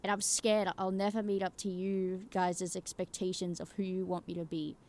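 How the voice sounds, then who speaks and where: A woman's voice: medium pitch, level tone, moderate pace, darkish tone, close to an everyday voice. A woman voicing an insecure video game character who is anxious to please, speaking in a hesitant, earnest, confessional way. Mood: vulnerable, anxious.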